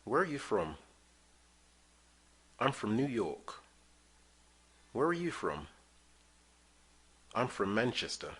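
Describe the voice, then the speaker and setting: A man teaching English, reading short phrases slowly and clearly for listeners to repeat. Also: mid-range voice, sing-song, fairly bright tone. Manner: clear drill-style reading of phrases for repetition. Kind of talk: slow scripted language lesson.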